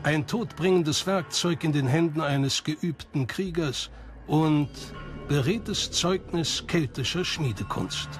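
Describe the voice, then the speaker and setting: A man's voice: a little high, slow pace. A man reading scripted narration as the narrator of a documentary. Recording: music behind the voice. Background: background music.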